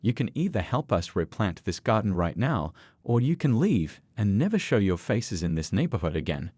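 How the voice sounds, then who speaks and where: A man's voice: fairly low pitch, sing-song, moderate pace, a little mellow, slightly rough, no room sound. A man voicing a commanding old veteran biker leader with a stern, authoritative manner in a narrated story. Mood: firm, commanding.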